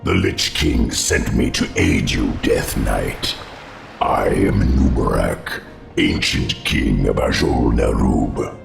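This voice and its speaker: A man's voice: animated, takes it slowly, heavily acted. A man playing an ancient, solemn undead insect king in a video game, with a monstrous reverb on his voice. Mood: grave. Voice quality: very deep, gravelly. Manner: solemn, formal. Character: ancient undead insect king, grave loyal servant of the Scourge. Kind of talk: video game cutscene acting. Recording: echoing voice with music behind.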